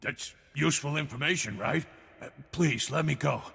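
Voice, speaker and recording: A grumbling hired gun in a video game. The voice is a little high for a man, raspy and forceful, with rough colloquial talk ringing in long reverb. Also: moderate pace, full character voice. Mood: cowed, eager. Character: rough male hired thug, surrendered ex-pirate.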